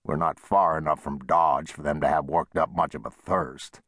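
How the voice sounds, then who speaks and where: A man's voice: very deep voice, steady tone, normal speed, a bit bright, breathy edge, no room sound, clean studio sound, pitched below the performer's own voice, full character voice. A man voicing a wry, easygoing old frontier saloon owner with folksy, plain-spoken talk in a western audiobook. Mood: dry, exasperated.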